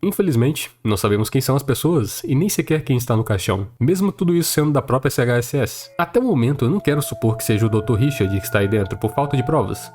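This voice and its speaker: A man's voice: mid-range voice, darkish tone. A man with a Brazilian accent narrating a scripted explainer in a conversational, explanatory way. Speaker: video essay narrator.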